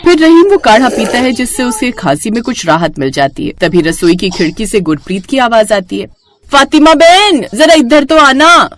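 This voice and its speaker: A woman's voice: medium pitch, sing-song, a little fast, few pauses, slight background noise. A woman reading scripted narration for a children's bedtime story.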